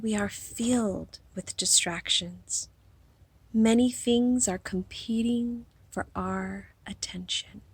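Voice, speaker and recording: Lady doing guided meditation, super slow n soothing, breathy, really dry close sound. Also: mid-range voice, no echo. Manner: soothing, unhurried, with long pauses and breathy phrase endings.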